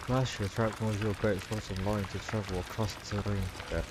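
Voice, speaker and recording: A man's voice: on the low side, little pitch movement, a little fast, very noisy. A man with an Indonesian accent, casually commentating as he streams a video game. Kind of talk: casual live gameplay commentary. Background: game music.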